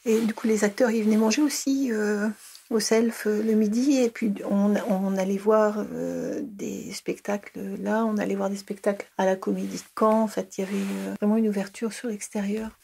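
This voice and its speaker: Hesitant middle-aged woman, mellow husky voice. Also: medium pitch, little pitch movement, normal speed. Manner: hesitant, many fillers and restarts. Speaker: interviewee, early childhood educator. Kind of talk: casual recorded interview.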